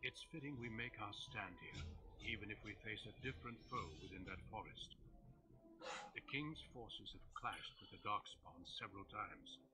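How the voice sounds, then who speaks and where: An actor playing a stoic, courteous warrior commander in a fantasy game, speaking in a formal, measured, solemn way. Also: male voice, lowish voice, natural voice, rough recording. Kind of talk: dramatic fantasy game acting.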